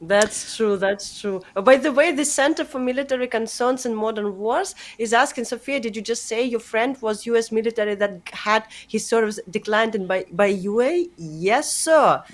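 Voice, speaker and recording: Higher-pitched woman chatting with many fillers. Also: normal speed, few pauses. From Ukraine. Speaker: guest. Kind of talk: casual chat.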